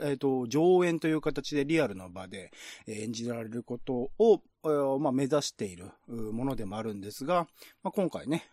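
A man's voice: mid-range voice, a little mellow, no echo, studio quality. A man who works as a radio drama host and narrator, presenting and reading scripts.

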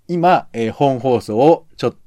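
A man's voice: mid-range voice, measured pace, mellow. A man co-hosting a podcast, explaining in casual chat in a hedging, roundabout way. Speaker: podcast co-host commenting on radio shows.

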